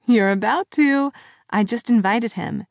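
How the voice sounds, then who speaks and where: A woman's voice: on the high side, unhurried, no room sound, studio quality. A woman voicing a giddy young woman newly in love, gushing and teasing her friend.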